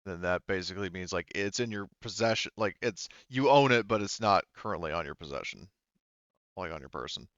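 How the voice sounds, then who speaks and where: A man with a smooth, fairly low voice works as a game master. He talks casually and haltingly, rambling through rules and narration. The sound is close and dry, a little dull. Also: expressive, a bit bright, dry recording. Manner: halting, rambling, informal with mild swearing. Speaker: game master of an online tabletop roleplaying game.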